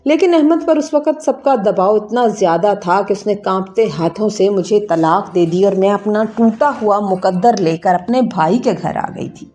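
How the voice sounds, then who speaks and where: A woman's voice: medium pitch, hardly any pauses, dark tone. A woman reading a scripted, emotional first-person story as its narrator. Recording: music bed behind narration. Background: background music.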